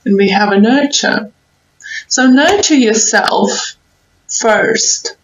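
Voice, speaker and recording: Slow-talking woman, echoey tarot reading. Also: a little high, lively intonation, a little hiss or hum. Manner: rambling, gently encouraging. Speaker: tarot reader. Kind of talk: casual tarot reading, advice to viewers. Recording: roomy echo, dull muffled sound.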